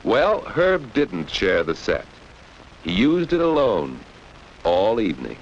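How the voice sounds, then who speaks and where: A man's voice: on the low side, huge pitch swings, takes it slowly. A man narrating a 1940s educational film for children in a didactic, storytelling way, posing questions to young listeners. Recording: dull, noisy 1940s film soundtrack.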